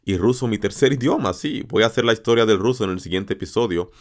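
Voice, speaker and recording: A man's voice: fairly low pitch, fast talker. A man hosting a language podcast, talking directly to learners in an encouraging way and repeating phrases for emphasis. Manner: addresses listeners directly and encouragingly. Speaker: Spanish teacher and podcast host.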